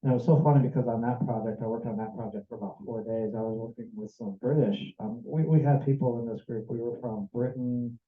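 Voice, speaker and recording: A man's voice: medium pitch, steady tone, fast talker, few pauses. An American man giving an earnest, meandering personal testimony to a church group, sounding a little jet-lagged. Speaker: church member sharing a mission trip report. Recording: tinny, echoey room recording.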